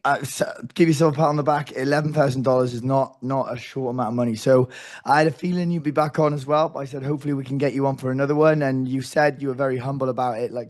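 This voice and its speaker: Hoarse male host, casual and rambling, restarts often. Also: medium pitch, a little mellow. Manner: chatty, rambling, full of restarts. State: losing his voice. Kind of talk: casual interview.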